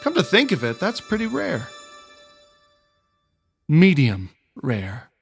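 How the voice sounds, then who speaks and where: A man playing a goofy, soft-hearted young wizard hero with a casual, halting, wry manner in a comedic fantasy audio drama. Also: on the high side, wildly swooping pitch, creaky, slightly rough, soft background music, heavily acted. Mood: hopeful musing.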